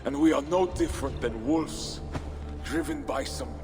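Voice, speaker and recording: An actor playing a bitter, brooding Viking war leader in a video game, speaking gravely and deliberately. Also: male voice, mid-range voice, close to an everyday voice. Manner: grave, deliberate, questioning oratory. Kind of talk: dramatic video game cutscene acting. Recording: music under the voice.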